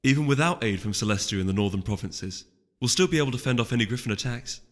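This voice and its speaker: A man's voice: mid-range voice, expressive, quick pace, a bit bright, close to an everyday voice. A man voicing a polite, anxious stallion attaché who serves a noble in a fantasy storybook, mild and placating. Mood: gently reassuring. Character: deferential, fussy, anxious stallion servant and attaché. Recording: long reverb, music underneath.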